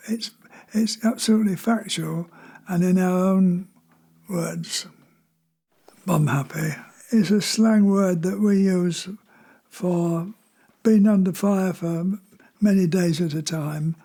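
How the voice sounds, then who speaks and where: A man in his nineties from Leeds, a war veteran, speaking reflectively and haltingly in a documentary interview. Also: high-pitched, vocal fry, dark tone. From Leeds, England. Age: 90s. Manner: halting, with repeated words.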